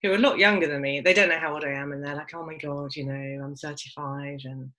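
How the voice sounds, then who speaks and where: A woman in her forties from London with a low voice and a bright, forceful edge. She talks reflectively and openly, thinking aloud and filling her pauses. She sounds like an interviewee in an unhurried conversation. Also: fairly even intonation. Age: 40s. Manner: open and reflective, with many fillers. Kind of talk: casual conversation.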